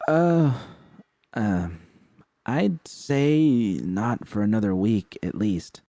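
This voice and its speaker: A man voicing a frank, caring young woman technician, informal and slangy, often halting and awkward but reassuring. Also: fairly low pitch, mellow, dry recording, studio quality, voice pushed up. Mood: flustered.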